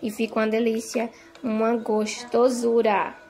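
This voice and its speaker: A woman's voice: a little high, completely flat delivery, a little slow, dark tone. Chatty woman cooking at home. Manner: chatty, tags phrases with "tá?" and "gente". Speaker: home cook vlogger. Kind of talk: casual cooking narration. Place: home kitchen, indoors.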